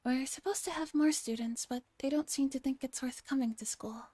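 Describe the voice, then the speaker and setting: Woman voicing a soft, high-pitched earnest schoolgirl. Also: a little fast, no room sound. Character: earnest high-school girl acting as teacher.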